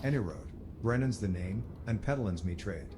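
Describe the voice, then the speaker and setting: A man's voice: fairly low pitch, lively intonation, normal speed, background noise, close to an everyday voice. A man voicing a chatty, friendly travelling peddler in a video game, with a British accent and a folksy, rambling, colloquial way of talking. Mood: reassuring, chatty. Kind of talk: video game character dialogue.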